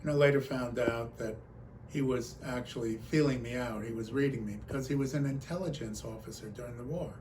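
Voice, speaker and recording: A man giving a talk as a personal storyteller, recounting remembered exchanges in an animated manner. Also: medium pitch, brisk, a little hiss or hum, poor audio. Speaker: conference speaker.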